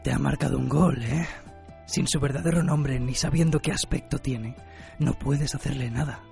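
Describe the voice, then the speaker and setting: An actor from a radio drama voicing a sardonic male death spirit in slangy Spain Spanish, with a raspy, gravelly voice. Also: mid-range voice, fairly even intonation, normal speed, darkish tone, faint music behind, close to an everyday voice. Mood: mocking. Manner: mocking, slangy.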